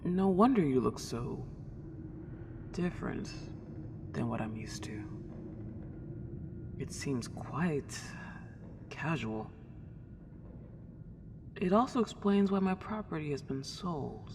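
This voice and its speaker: A woman's voice: deep voice, expressive, slow, pauses often, darkish tone, full character voice. A woman playing a friendly, polite and lonely ghost girl a century old, chatting in a genteel, old-fashioned way in an ASMR roleplay drama. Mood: puzzled. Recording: long reverb, clear background noise.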